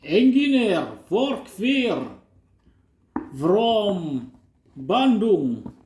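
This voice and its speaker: A man with a Russian accent speaking halting English, casually unboxing products in a vlog. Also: high voice, sing-song, a little mellow, roomy sound, slight background noise. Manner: slow, halting non-native English with pauses. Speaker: unboxing vlogger.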